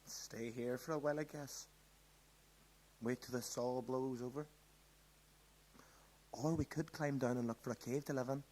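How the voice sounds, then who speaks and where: A man's voice: medium pitch, fairly even intonation, moderate pace, long pauses, a little mellow, close to an everyday voice, rough recording. A man acting an upset, put-upon teenage boy in a live radio play, naturalistic and self-justifying. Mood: calmer, resigned.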